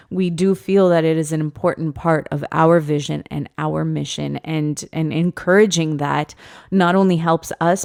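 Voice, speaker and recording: A woman in her late twenties co-hosting a teachers' podcast, speaking earnestly and deliberately, repeating phrases for emphasis. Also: low-pitched, creaky, darkish tone. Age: late 20s. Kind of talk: reflective podcast conversation.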